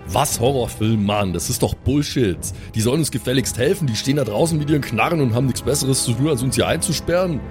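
Man acting an outraged, swearing festival security guard, pitched up. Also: fast, hardly any pauses, soft background music, close to an everyday voice. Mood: indignant. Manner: indignant, swearing, slangy shouting. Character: big angry male festival security guard.

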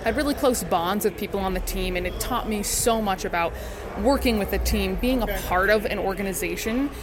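A woman's voice: medium pitch, fairly quick, a crowd in the background. A woman from the United States, a sports broadcaster being interviewed, telling her story in an earnest, articulate, conversational way.

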